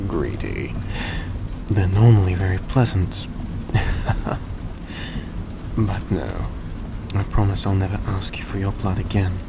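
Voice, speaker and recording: A man playing a courteous, gentle vampire in an intimate audio roleplay, speaking politely and a little hesitantly to the listener. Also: deep voice. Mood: gentle, earnest. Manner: soft-spoken, polite, hesitant, formal phrasing. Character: courteous, gentle male vampire repaying a debt. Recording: steady background noise behind voice.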